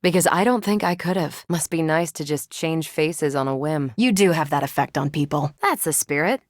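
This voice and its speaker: A battle-ready video game superheroine. Her voice sits on the low side and is recorded close and dry. She is loyal and self-assured, with a wry, teasing edge to her delivery. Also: lively intonation, moderate pace, no room sound. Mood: steady, conversational.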